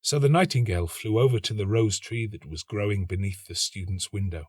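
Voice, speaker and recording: A man reading a literary story aloud as a narrator, with measured, expressive delivery. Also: fairly low pitch, husky, dry recording. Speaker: story reader, actor.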